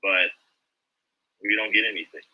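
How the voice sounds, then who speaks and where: A man's voice: lowish voice, fairly even intonation, normal speed, a little hiss or hum. A man from Ghana speaking casually as a caller in an open discussion, over a dull lo-fi voice-chat connection. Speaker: audience speaker in an open forum.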